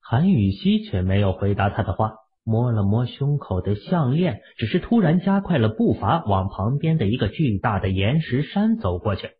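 A man with a raspy voice narrating an audio drama in a steady storytelling manner. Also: mid-range voice, moderate pace, few pauses, narrow, tinny audio.

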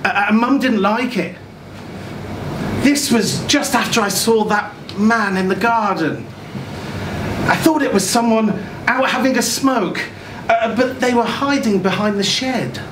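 A man's voice: sits high, a little slow. Man reading as a child, roomy and distant. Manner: animated, hesitant, childlike, with stammered repeats. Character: naive, chatty, frightened young child at a party. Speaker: reader at a live short story event. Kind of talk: dramatic reading of a short story in a child's voice. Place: small indoor event room. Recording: roomy, distant camera recording with loud noise.

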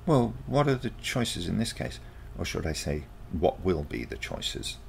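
A sceptical grandparent from a storybook, played by a male narrator, questioning everything in disbelief. The voice is heavily creaky and animated in pitch, with clear noise in the recording. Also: measured pace, exaggerated voice. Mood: doubtful. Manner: incredulous, questioning. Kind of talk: storybook character dialogue.

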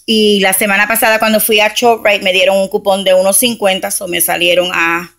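A woman with a bright, forward voice talks through her shopping finds on camera. Her delivery is chatty and practical, with English words dropped in. It is recorded on a phone held in her hand at home. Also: medium pitch, level tone, a little fast. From Camden, New Jersey, USA. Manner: chatty, explains prices step by step, mixes in English words. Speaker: couponing vlogger. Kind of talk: casual haul walkthrough. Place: home, beside a shelf of groceries, indoors. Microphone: handheld phone camera.

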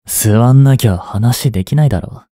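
A man in his twenties playing a reluctant, guilt-ridden young hitman in an audio drama, softly spoken and halting. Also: medium pitch, no echo, studio quality, natural voice. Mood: feigned casual. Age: 20s. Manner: halting, subdued delivery. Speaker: voice actor. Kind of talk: Japanese audio drama monologue and dialogue.

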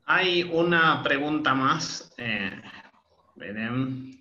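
Bright-voiced moderator on a call. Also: male voice, a little high, animated, very slow. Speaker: seminar moderator. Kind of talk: reading audience questions. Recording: echoey, dull lo-fi call audio.

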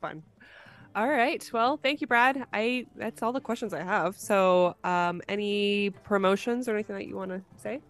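A woman's voice: medium pitch, moderate pace. A woman hosting a podcast in a casual, enthusiastic way. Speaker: podcast host. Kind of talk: casual chat.

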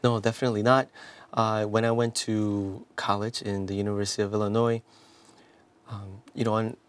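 Earnest priest from South Korea, lowish voice. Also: male voice, level tone, normal speed. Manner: earnest, many restarts and "you know" fillers. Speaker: priest guest. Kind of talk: interview, telling his life story. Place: TV studio. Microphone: lapel mic.

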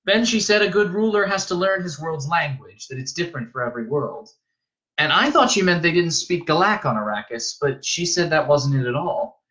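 A man's voice: a little high, animated, moderate pace, pushed and bright, pitched above the performer's own voice, low-quality recording. A man voicing an earnest, troubled teenage boy of noble birth, questioning and a little hesitant.

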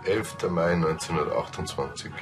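A man's voice: deep voice, a little fast, music in the background, natural voice. A man playing a dry, pedantic surveillance technician in a TV crime comedy. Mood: deadpan.

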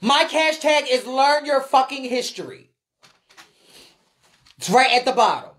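A woman with a fairly high voice speaks unscripted, as if to a livestream following. She is blunt and emphatic, peppers her talk with swearing and slang, and repeats phrases to hammer them in. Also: lively intonation, unhurried, a bit bright. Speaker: livestream host, ballroom scene commentator.

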